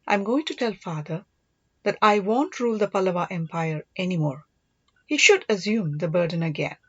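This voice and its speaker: A woman's voice: fairly low pitch, animated, moderate pace, fairly bright tone, husky, highs cut off. Woman reading as an earnest young storybook prince. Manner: earnest, reassuring. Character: majestic young prince, devoted, earnest, teasing older brother.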